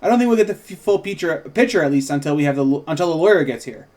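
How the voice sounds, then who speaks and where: A man's voice: fairly high pitch, lively intonation, very fast, darkish tone, natural voice. A man reading the part of a self-assured, probing woman reporter at a script table read. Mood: matter-of-fact.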